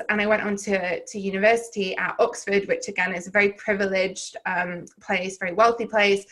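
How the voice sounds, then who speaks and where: A woman with a Southern English accent speaks at a middling pitch as a podcast guest. She tells personal stories in a rambling, reflective way, full of restarts and filler words. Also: a bit bright. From Wales. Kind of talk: candid personal storytelling in conversation.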